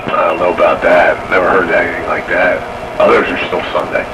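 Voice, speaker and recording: An American man with a low-pitched voice chats casually over two-way radio. The transmission carries clear noise behind him as he talks plainly, like an experienced operator among acquaintances. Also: brisk. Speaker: experienced radio operator giving antenna advice. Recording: noisy two-way radio with steady background noise.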